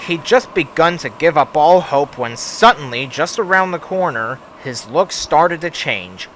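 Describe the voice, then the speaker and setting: A man reading a scripted children's story as narrator, expressive, with deadpan understatement. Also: fairly high pitch, measured pace, slight background noise, low-quality recording. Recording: music under the voice. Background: music.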